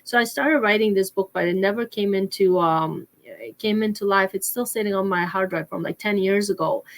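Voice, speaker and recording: A woman's voice: medium pitch, a bit bright. A middle-aged woman from Poland, an author answering interview questions with many fillers and restarts. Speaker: author and guest.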